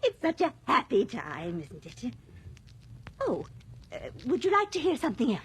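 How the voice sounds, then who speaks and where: A woman's voice: fairly high pitch, huge pitch swings, moderate pace, fairly bright tone, slight background noise. An actress playing a sweet, chatty, forgetful elderly village lady in a radio drama, rambling cheerfully. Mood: chatty, fluttery. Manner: rambling, fluttery, cheerful hostess.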